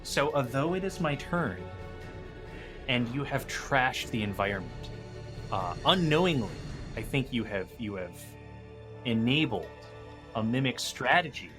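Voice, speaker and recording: A man playing a tabletop roleplaying game, talking casually with hesitant, measured pauses. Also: medium pitch, very animated. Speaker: guest player running the monster. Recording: faint music behind. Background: background music.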